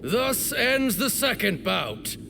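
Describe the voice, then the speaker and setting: An actor playing a formal, solemn officiant of sacred duels in a video game, proclaiming ceremonially. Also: male voice, sits high, big pitch swings, slow pace, gravelly, noisy background, heavily acted. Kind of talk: video game character acting. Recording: music behind.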